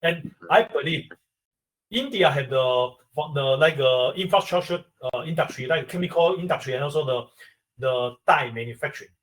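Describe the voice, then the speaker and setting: A man with a Taiwanese accent, an industry expert on a webinar, explaining step by step in halting English. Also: a little high, a bit bright, far from the mic, low-quality recording. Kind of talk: webinar answer to a question.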